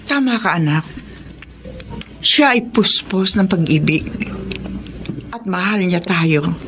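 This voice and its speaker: An actress playing a patient, devout mother in a Filipino radio drama, calm and consoling, with a Filipino accent. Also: on the low side, slow pace, background noise. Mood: calm, compassionate. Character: patient, wise, devout middle-aged mother.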